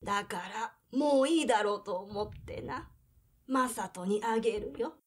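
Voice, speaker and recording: A woman's voice: fairly high pitch, slightly rough. An actress playing a plain-spoken grandmother in her eighties in an audio drama, reminiscing. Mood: solemn, gentle. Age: 80s. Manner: slow, reminiscing, folksy. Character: elderly Japanese grandmother, plain-spoken, reflective, devoted to family.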